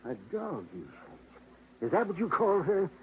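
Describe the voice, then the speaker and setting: A blustering older dog owner. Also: male voice, high voice, huge pitch swings, full character voice. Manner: blustery, gruff, quick-tempered. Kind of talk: 1950s radio drama acting. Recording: tinny old radio broadcast, faint hiss.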